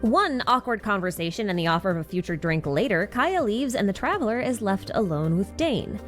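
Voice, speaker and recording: A woman's voice: mid-range voice, very animated, a little fast, faint music behind. A woman reading conversational scripted narration for a game recap, with wry, informal asides.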